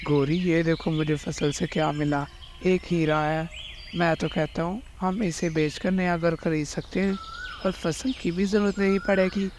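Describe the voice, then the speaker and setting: A man's voice: on the high side, fairly even intonation, measured pace, darkish tone, slight background noise, close to an everyday voice. A man playing a boastful, sly crow who farms in a children's cartoon, with a mocking manner. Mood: eager, pleased. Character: boastful, sly male crow, a rich farmer. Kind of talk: Hindi cartoon dubbing.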